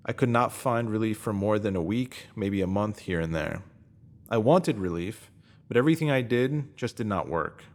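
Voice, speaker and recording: A man with a fairly low voice narrates a motivational written piece. He reads earnestly and leans on key phrases for emphasis, in the manner of scripted self-help narration.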